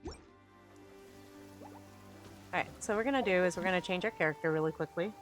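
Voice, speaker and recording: A woman's voice: fairly low pitch, a little fast, faint music behind. A woman streaming a video game, chatting to viewers and reading the game's text aloud into a close mic.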